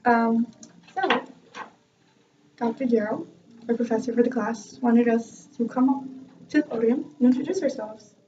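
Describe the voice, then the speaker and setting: A woman's voice: a little high, moderate pace, long pauses, mellow, a little hiss or hum. Laughing young woman, dull distant sound. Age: young adult. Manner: chatty, self-mocking, laughs often. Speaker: vlogger and music student. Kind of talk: casual storytelling vlog.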